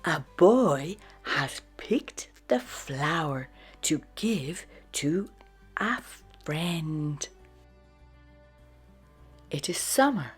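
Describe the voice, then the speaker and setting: A woman narrating a children's storybook in a dramatic, expressive read-aloud style. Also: deep voice, very slow, pauses often, husky, faint music behind. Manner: dramatic, with hushed phrases and sudden exclamations.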